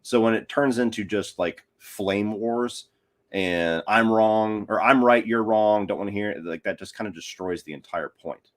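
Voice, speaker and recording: A man's voice: lowish voice, normal speed. A man talking casually about films as a guest on a podcast. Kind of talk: casual film discussion.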